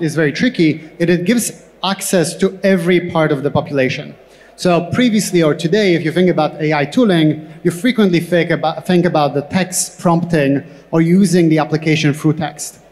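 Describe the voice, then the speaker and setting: Higher-pitched man speaking in an echoey hall. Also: a little mellow. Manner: halting, repeats words as he explains. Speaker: tech company co-founder, panel guest. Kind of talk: on-stage interview answers. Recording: echoey hall with long reverb.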